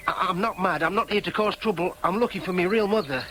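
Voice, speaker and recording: A man's voice: high voice, fast, fairly bright tone, slight background noise. An actor in a radio drama playing an earnest, dogged young man with a Yorkshire accent. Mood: insistent. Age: young adult. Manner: insistent, questioning, sometimes in inner monologue. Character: earnest, dogged young Yorkshireman seeking his birth mother.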